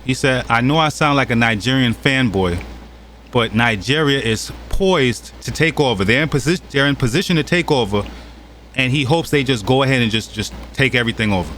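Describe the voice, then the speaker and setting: Mid-pitched American man, mocking commentary host, faint noise in the room. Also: animated, a little fast, a bit bright. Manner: mocking, challenging. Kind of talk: reaction commentary.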